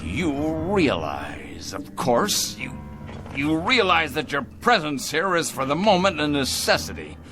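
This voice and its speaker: Pompous, wildly animated factory boss. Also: male voice, on the high side, unhurried, over music. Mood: smug, excitable. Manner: pompous, theatrical, condescending. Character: cold, pompous male factory boss obsessed with automation. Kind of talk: radio drama acting.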